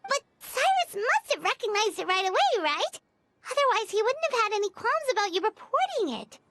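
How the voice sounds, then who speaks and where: Squeaky, very high-pitched fairy girl. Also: sing-song, normal speed, a bit bright, no echo, exaggerated voice. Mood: curious, suspicious. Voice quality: squeaky, childlike. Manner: eager, outspoken. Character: small, childlike floating fairy girl companion, chirpy and protective. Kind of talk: video game voice acting. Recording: game music behind.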